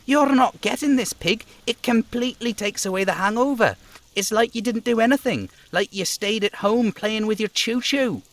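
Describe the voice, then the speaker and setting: A man's voice: high-pitched, normal speed, fairly bright tone, pitched above the performer's own voice. A man voicing a cocky, hostile, swearing street kid in a video game, a brash braggart who talks of himself in third person. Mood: scornful, bragging. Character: foul-mouthed cocky street kid boy, swaggering and hostile.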